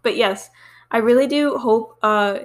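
A woman's voice: a little high, a little slow. A young woman from the United States hosting a casual podcast chat, talking loosely with lots of fillers and "like". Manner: chatty, many fillers and likes. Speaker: podcast host.

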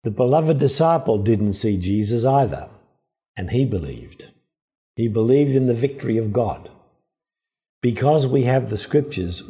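A man giving a measured, earnest Easter homily on a gospel passage. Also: mid-range voice, mellow. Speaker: preacher.

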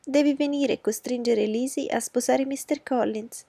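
A woman voicing an agitated middle-aged mother who talks high and fast in alarm. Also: creaky, mellow, breathy edge, no room sound. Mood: frantic. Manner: high-pitched, agitated, pleading.

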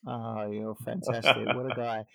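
A man's voice: medium pitch, flat delivery, normal speed, vocal fry, bright, forceful tone. A man from England hosting a podcast interview, casual and jokey, teasing his guest. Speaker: podcast host.

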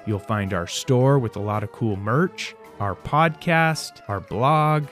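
A man's voice: mid-range voice, slow pace, a bit bright. A man hosting a podcast in a scripted, playfully theatrical narrating style. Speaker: podcast host. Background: music behind the voice.

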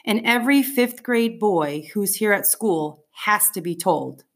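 A woman's voice: medium pitch, animated, a little slow. A woman reading the part of a bossy, eager fifth-grade boy rallying his friends in an audiobook. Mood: eager, insistent.

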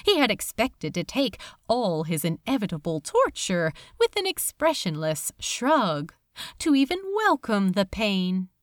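A woman reading fiction aloud as a dramatic audiobook narration, with deliberate, expressive phrasing. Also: medium pitch, no echo, studio quality. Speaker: audiobook reader.

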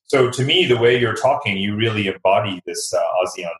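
A man with a fairly low voice speaks as an interview guest. He gives articulate, conversational expert commentary, explaining his points and repeating words for emphasis. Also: brisk, a bit bright, low-quality recording.

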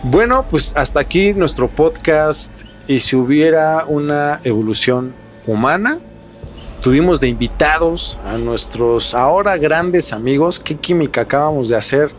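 A man's voice: a little high, lively intonation, measured pace, soft background music. A man with a Mexican accent hosting a podcast, telling things conversationally and posing rhetorical questions as he speculates. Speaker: podcast host.

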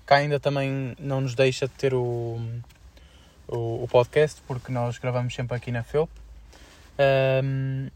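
A young man with a European Portuguese accent chatting informally as a podcast co-host, with slangy, halting delivery. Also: mid-range voice, little pitch movement, darkish tone. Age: young adult. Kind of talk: casual student podcast chat.

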